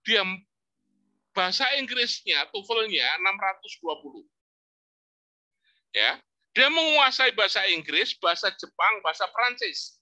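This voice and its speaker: A man, a psychology professor telling family stories in an informal lecture with lively rising emphasis and religious exclamations. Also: high voice. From Indonesia. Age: 60s. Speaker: psychology professor giving a talk.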